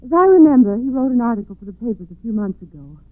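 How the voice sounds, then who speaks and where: A woman's voice: a little high, expressive, quick pace, dry recording, close to an everyday voice. Casting: actress as determined, sincere woman, vintage radio crime drama acting, thin dry phone-like recording. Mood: firm. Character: earnest, insistent woman in a murder mystery.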